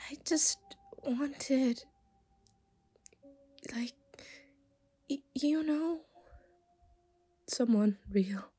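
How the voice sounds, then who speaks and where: A woman voicing an obsessive, self-aware anime schoolgirl in an ASMR roleplay, soft and often whispering, shy, hesitant and stammering as she pleads. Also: on the high side, husky, exaggerated voice. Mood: distressed, remorseful.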